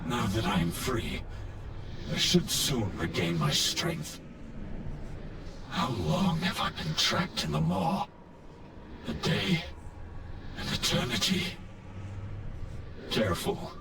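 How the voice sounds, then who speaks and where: An actor playing a grim, commanding undead knight in a video game, gravelly, stern and solemn. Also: male voice, on the low side, sing-song, takes it slowly, pauses often, very noisy, full character voice. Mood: weary, wary. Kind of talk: video game character dialogue.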